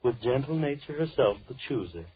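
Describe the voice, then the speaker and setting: An actor playing a deranged, lonely killer who speaks with mock politeness and menace in old radio horror style. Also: male voice, mid-range voice, expressive, husky. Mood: musing, sinister. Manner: theatrical, mock-polite, slow musing monologue. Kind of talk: 1940s radio horror acting. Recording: muffled, tinny old radio recording.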